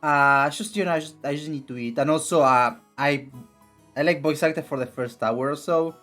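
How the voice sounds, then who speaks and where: A young man with a raspy voice streaming casually, rambling with many fillers and restarts. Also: on the high side, normal speed, a bit bright, faint music behind. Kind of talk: casual live-stream chat and reading game text.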